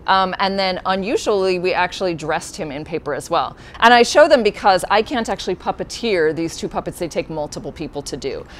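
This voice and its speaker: A woman with a fairly low voice, a puppeteer guest giving casual, friendly explanations with many fillers. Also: lively intonation, a little fast, few pauses, a little hiss or hum.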